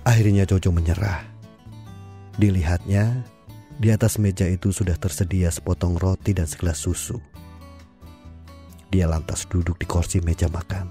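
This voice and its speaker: A man narrating an audio drama in a calm, measured storytelling manner. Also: low voice, faint music behind.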